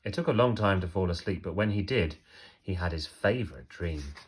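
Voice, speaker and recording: A man reading a children's story aloud like a teacher, expressively, with emphatic phrasing and pauses for effect. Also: deep voice, lively intonation, husky.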